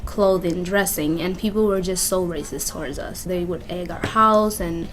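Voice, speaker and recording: A woman's voice: fairly low pitch, moderate pace, a bit bright, slight background noise. Woman from Kenya telling her story. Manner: candid, with frequent "you know" and "like" fillers. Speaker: interviewee. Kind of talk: personal interview narrative. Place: community youth center. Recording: music bed under interview. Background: music playing underneath.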